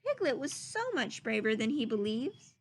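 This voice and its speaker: A woman voicing a gentle, loving, simple-hearted male toy bear in a storybook, speaking slowly and earnestly. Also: on the high side, big pitch swings. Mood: fond, thoughtful.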